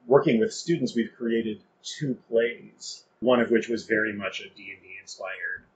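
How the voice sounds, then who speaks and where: A man's voice: on the low side, normal speed. A man in his fifties in casual, reflective podcast discussion, often chuckling as he talks. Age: 50s. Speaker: podcast co-host. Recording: dull lo-fi audio, clearly noisy.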